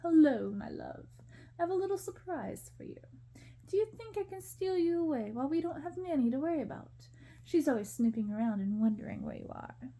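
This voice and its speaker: Woman playing a soft, suave storybook prince. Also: high voice, lively intonation, fairly quick, voice pushed up. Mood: coaxing. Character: scheming young prince, suave and coaxing lover.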